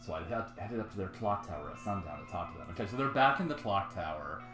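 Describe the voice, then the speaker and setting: A man's voice: low-pitched, quick pace, roomy sound, soft background music. A man hosting a podcast, reading a comic's narration aloud and chatting casually about it. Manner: reads narration flatly, bursts into laughing comments. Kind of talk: casual table reading and chat.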